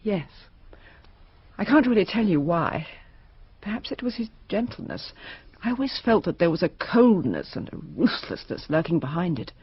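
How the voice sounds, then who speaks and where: Husky, wistful mother. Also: on the low side, big pitch swings, moderate pace, no room sound, muffled recording, exaggerated voice. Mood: wistful confession. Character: sorrowful, confiding mother with a painful secret. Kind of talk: radio drama acting.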